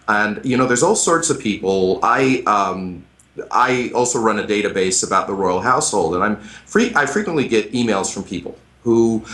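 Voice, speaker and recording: Low-voiced American professor, halting speech. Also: male voice, a bit bright. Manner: thoughtful, hesitant, many fillers. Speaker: history professor guest. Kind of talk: radio interview.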